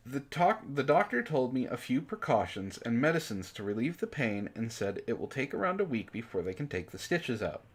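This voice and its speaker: A man with a husky voice reading a story dramatically and breaking in with sarcastic, exasperated commentary. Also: mid-range voice, very animated, normal speed, hardly any pauses. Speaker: book club reader and commentator.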